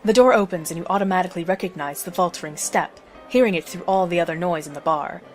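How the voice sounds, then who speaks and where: A woman's voice: lowish voice, fast, faint music behind. A woman narrating a scripted story as the narrator of an audio drama.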